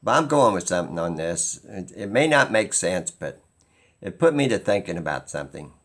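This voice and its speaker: A man talking informally and skeptically as he explains something, halting and pausing mid-sentence as he muses. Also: deep voice, sing-song, a bit bright. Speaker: video host.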